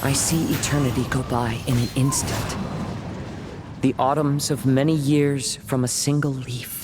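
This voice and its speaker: A man's voice: medium pitch, fairly even intonation, measured pace, natural voice. Solemn samurai swordsman played by a man. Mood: solemn, poised. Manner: solemn, poetic declaiming. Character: calm, poetic samurai swordsman, solemn and poised. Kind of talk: video game voice acting. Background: loud music.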